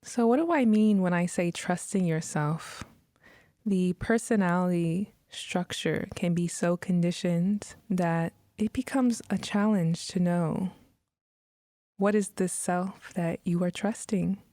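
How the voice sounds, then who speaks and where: A woman leading a guided meditation in a soft, breathy, measured manner with long pauses. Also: on the low side, no echo, studio quality. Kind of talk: guided meditation, scripted narration.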